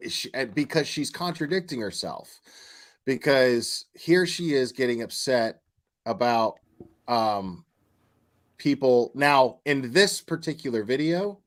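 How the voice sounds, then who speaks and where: A man hosting a casual livestream commentary. He talks bluntly, swears, and rambles with fillers. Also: medium pitch. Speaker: commentary channel host.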